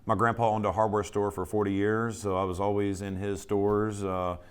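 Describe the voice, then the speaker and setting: Halting middle-aged man from Missouri. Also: fairly low pitch, flat delivery, clean tone. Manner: halting, many fillers and restarts. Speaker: guest, charity council president. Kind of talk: casual interview chat.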